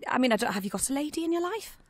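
A woman's voice: high voice, very animated, racing, a little hiss or hum. A woman hosting a chatty, informal radio interview, putting questions and comments to a guest.

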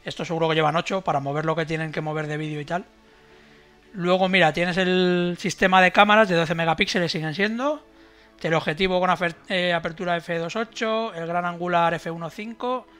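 A man's voice: high voice, fairly even intonation, fairly quick, fairly bright tone, faint music behind. A middle-aged man with an accent from Spain, giving blunt, colloquial tech commentary as a livestream host. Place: indoors at home, video call.

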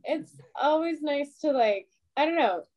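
A woman's voice: high voice, lively intonation, measured pace, dull audio. A young woman, a long-distance hiker, chatting over a video call from a small room with a dry, wry humor. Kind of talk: casual video call chat.

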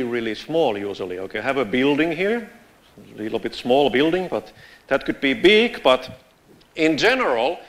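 A man with a Finnish accent talks through a conference presentation in a large lecture theatre, his voice at an ordinary mid pitch. He keeps it casual and joking and gestures as he walks the stage. His lapel mic keeps the voice close. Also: a bit bright. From Finland. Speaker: conference speaker. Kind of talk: conference tech talk.

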